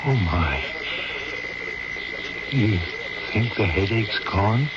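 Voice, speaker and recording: A man's voice: fairly low pitch, animated, very slow. An actor playing a concerned, easily amazed ordinary man in an old-time radio drama. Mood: amazed, hushed. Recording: muffled old radio recording, loud background noise.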